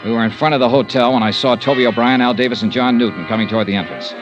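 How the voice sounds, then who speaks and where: A man's voice: medium pitch, very fast, lo-fi sound, lightly acted. An American man acting a tough, terse insurance investigator in an old-time radio detective drama, narrating in a clipped, matter-of-fact way. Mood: dry, matter-of-fact. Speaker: lead actor and narrator of a detective serial. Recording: vintage radio recording, faint music.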